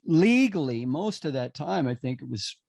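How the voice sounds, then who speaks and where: A man's voice: fairly high pitch, sing-song, normal speed, vocal fry, a little mellow, dry recording. A man hosting a podcast interview, talking earnestly and emphatically in a casual conversational way. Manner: earnest, emphatic, builds to indignation. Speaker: podcast host.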